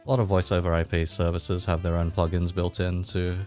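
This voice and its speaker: A man with a very low voice hosting a relaxed interview over a call. He rambles easily from point to point, with quiet music under him. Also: fairly quick. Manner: laid-back, rambling, laughs often. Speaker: interviewer, host. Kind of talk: casual interview chat.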